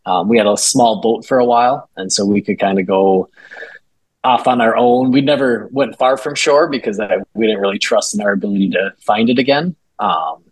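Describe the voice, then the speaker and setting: A man guesting on a podcast, telling travel stories in a relaxed, conversational way. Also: fairly low pitch, moderate pace, husky, no room sound, rough recording. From Minnesota, USA. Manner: relaxed storytelling with fillers. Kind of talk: casual conversational interview.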